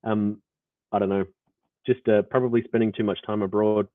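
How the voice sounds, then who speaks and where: A man's voice: fairly low pitch, flat delivery, normal speed, dry recording. A man with an Australian accent explaining code in a rambling live walkthrough, full of fillers and restarts. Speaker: developer presenting. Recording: tinny phone-like audio line.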